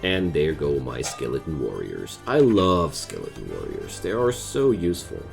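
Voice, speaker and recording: A man's voice: a bass voice, wildly swooping pitch, moderate pace, vocal fry. A man with a German accent giving casual gameplay commentary, thinking aloud as he plays. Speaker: gaming video creator. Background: music.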